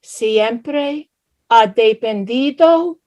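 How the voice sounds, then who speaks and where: A woman playing a high-voiced, dramatic immigrant mother. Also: extremely slow, a little mellow. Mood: teasing. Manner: exclamatory, chiding, mixes Spanish into English. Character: doting, dramatic Salvadoran immigrant mother in her thirties. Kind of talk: live staged play reading.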